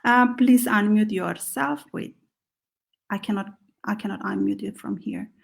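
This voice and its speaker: Host, woman, gravelly voice, stop-start delivery over a video call. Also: medium pitch. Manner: halting, filler-heavy. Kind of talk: moderating an online panel.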